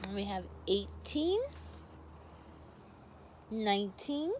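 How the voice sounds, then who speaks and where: A woman reads and talks to young children like a first grade teacher. Her pace is very unhurried and her delivery expressive, at a middle pitch. There is faint noise in the background. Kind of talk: children's story read-aloud with casual asides.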